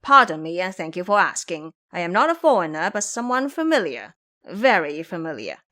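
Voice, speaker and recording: A woman's voice: on the low side, sing-song, normal speed, pushed and bright. Woman playing a sulky, bumbling male pig monster. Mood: sheepish. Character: foolish, whining, pouty male pig monster disciple. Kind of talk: audio drama acting.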